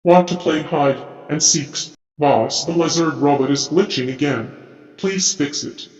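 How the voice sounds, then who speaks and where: A man's voice: on the high side, a little slow, darkish tone, lightly acted. A man voicing a hateful, menacing robot henchman in taunting, grumbling game-character voice lines. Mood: irritated, hateful. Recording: echoey, reverberant, slightly muffled audio.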